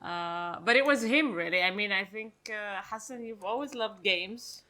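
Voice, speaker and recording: Bright-voiced woman, laughing and modest. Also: medium pitch, normal speed. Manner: laughing, self-deprecating, hesitant fillers. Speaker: indie game designer guest. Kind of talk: casual interview chat.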